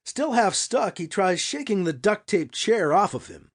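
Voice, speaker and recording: Casting: male audiobook narrator, high-pitched, hugely varied intonation, dramatic fiction read. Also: big pitch swings, measured pace.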